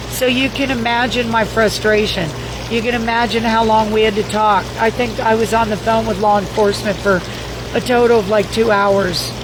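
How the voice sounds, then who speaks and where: Hoarse woman, fairly high voice, profane rambling livestream host; noisy recording. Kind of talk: casual livestream recap reading viewer comments. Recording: noisy background.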